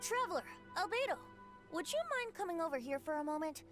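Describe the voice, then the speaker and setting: Young male bard: playful, theatrical, high and lively, anime game dialogue over music. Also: fast. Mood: earnest, light. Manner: flowery, theatrical phrasing. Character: breezy youthful male bard, playful, evasive, tipsy-loving.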